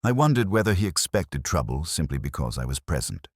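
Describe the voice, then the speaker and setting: Very deep-voiced man narrating a brooding mystery. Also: sing-song, brisk, slightly rough, no room sound, clean studio sound. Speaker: first-person narrator of a detective story. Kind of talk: scripted literary mystery narration.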